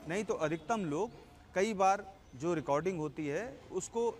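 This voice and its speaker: A man, a senior correspondent giving a deliberate, explanatory live report. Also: high voice, lively intonation, a little hiss or hum.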